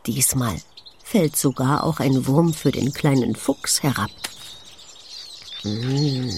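A woman with a low voice narrates a children's nature story. Her delivery is slow and full of wonder, with very lively swings in intonation as she addresses young listeners. Birdsong is audible behind her. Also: a little hiss or hum. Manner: expressive, hushed and wondering, addressing a small child. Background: forest sounds with chirping birds.

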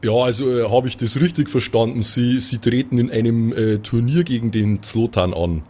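A man voicing a gruff, folksy strongman with a Bavarian accent in an improvised tabletop audio play. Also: mid-range voice, dark tone, natural voice. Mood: folksy, chatty. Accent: southern German-Austrian Bavarian. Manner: folksy, unhurried, hesitant.

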